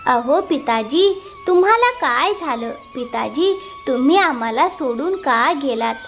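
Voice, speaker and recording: A woman's voice: high voice, lively intonation, measured pace. A woman playing a grieving, lamenting young daughter-in-law in a cartoon moral tale. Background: music.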